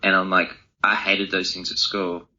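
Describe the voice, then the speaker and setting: A man's voice: low voice, monotone, moderate pace, sharp tone. A young man answering interview questions in a casual, reflective way, thinking aloud in informal language. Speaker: interview guest, author and speaker. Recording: dull, lo-fi audio.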